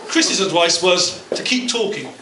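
A middle-aged man delivering a prepared, humorous best man speech at a wedding reception, telling anecdotes with measured pauses. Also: sits high, expressive. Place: indoor function room at a wedding reception. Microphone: handheld mic through a PA speaker, filmed from across the room. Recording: distant, echoey, very noisy room recording. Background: loud room noise and guests.

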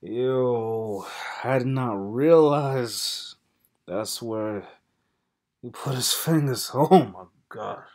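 A man hosts a reaction video in a casual, colloquial way. His voice sits at an ordinary middle pitch. He addresses the viewers directly and informally. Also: drawn-out words, a little mellow. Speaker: host of a reaction channel. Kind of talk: casual vlog commentary. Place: indoor room at a computer.

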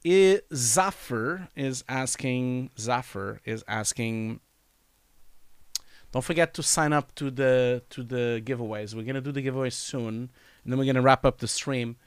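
Man in his forties, sick with a cold, nasal and scratchy, smooth close voice, dry home studio mic, hesitant live Q&A host. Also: medium pitch, measured pace, dry recording. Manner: reads chat questions aloud, hesitant with many fillers. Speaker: live stream host answering chat. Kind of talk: casual live Q&A. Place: home study with bookshelves, indoors. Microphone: studio mic on boom, wearing headphones.

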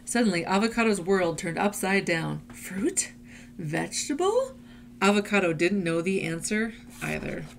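A woman reading a picture book aloud to children in an expressive, playful storytelling manner. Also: medium pitch, moderate pace, a little hiss or hum. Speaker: adult reader leading a children's read-aloud.

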